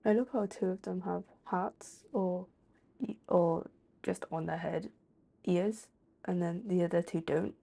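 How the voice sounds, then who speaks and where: A woman's voice: on the low side, a little slow, vocal fry, warm tone. A woman streaming a video game, giving casual commentary as she plays.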